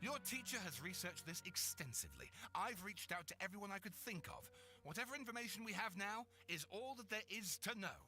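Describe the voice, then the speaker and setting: Firm, reassuring young man, very bright, high and lively. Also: brisk, faint music behind, full character voice. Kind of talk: video game voice acting.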